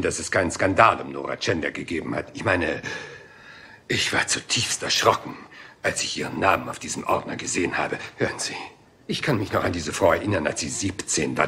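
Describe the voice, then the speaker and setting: An actor playing a rumpled, humble police inspector in a German radio crime drama, talking in hesitant, apologetic, rambling questions. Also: male voice, low voice, sharp tone, heavily acted.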